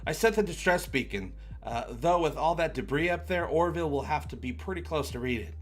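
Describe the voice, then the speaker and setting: A man voicing a calm, practical starship officer in a science fiction read-along. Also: a little high, fairly quick. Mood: serious, analytical.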